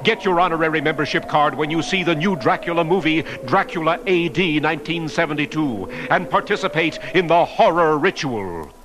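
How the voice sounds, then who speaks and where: Higher-voiced man announcing a vintage horror trailer. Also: brisk, hardly any pauses, background noise. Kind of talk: dramatic 1970s horror trailer narration. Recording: vintage trailer audio with music behind.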